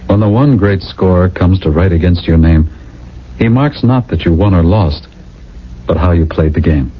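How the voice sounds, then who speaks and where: Low-voiced, lovestruck husband in radio drama. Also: male voice, normal speed, husky. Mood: wry, nostalgic. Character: earnest, lovestruck husband, nostalgic misfit in future society. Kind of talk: 1980s radio drama acting. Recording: dull vintage radio broadcast with music.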